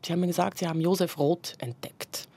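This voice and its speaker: A woman's voice: lowish voice, quick pace, mellow, breathy edge, dry recording. A woman from Basel in Switzerland hosting a conversational radio interview as the interviewer.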